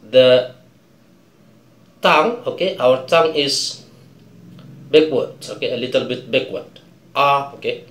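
A man in his thirties with an Indonesian accent, teaching English vocabulary and pronunciation, drilling words slowly and tagging "okay?" onto his points. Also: on the high side, echoey room. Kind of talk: slow pronunciation lesson. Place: small indoor room before a colored backdrop.

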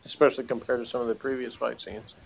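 A man's voice: mid-range voice, level tone, quick pace, poor audio. An American man co-hosting a casual review chat, talking in a blunt way. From United States. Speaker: podcast co-host. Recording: clear background noise.

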